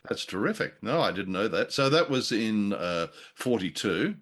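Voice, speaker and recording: A man's voice: fairly low pitch, very animated, normal speed, a bit bright. A man co-hosting a podcast in casual review chat, wordy and jocular, often feeling his way through sentences. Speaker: podcast co-host reviewing old radio.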